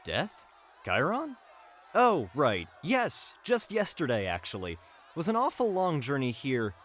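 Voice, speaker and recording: An actor playing a mocking, theatrical villain who drawls taunts and barks orders in a fantasy audio drama. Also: male voice, on the high side, huge pitch swings, a little slow, a bit bright, some chatter behind, exaggerated voice. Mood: smug mockery. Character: mocking, theatrical young male villain commanding brutish henchmen.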